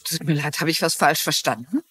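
An actress playing a kind but firm, polite older mystery novelist with a husky voice in a TV crime drama dub. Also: female voice, sits low, brisk, a bit bright. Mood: encouraging. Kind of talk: German-dubbed TV crime drama.

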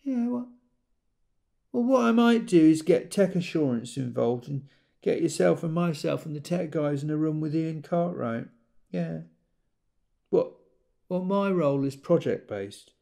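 A man's voice: fairly high pitch, animated, unhurried, vocal fry, long pauses, mellow. A man playing a busy, jargon-heavy corporate consultant on a one-sided call in an audio drama, businesslike but hesitant.

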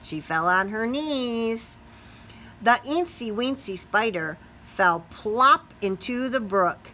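A middle-aged woman teacher reading a children's storybook aloud in a sing-song, expressive way, putting questions to young listeners. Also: on the high side, slow, a little hiss or hum. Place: small classroom or office, indoors.

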